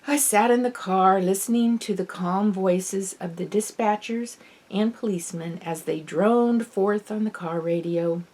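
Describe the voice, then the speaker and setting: A woman reading expressive scripted narration from a novel as an audiobook. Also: medium pitch. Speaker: author reading own novel.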